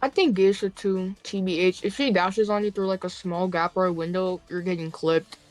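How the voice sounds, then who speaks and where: A woman's voice: on the low side, steady tone, normal speed, soft background music, poor audio. A young woman on a casual gaming stream, blunt and teasing, who swears offhandedly while arguing her picks.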